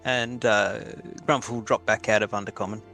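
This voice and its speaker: A man's voice: lowish voice, fairly even intonation, normal speed, sharp tone, faint music behind. A man playing a tabletop game, bantering jovially and laughing often. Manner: jovial, laughing, clubby "old chum" banter. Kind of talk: tabletop roleplay.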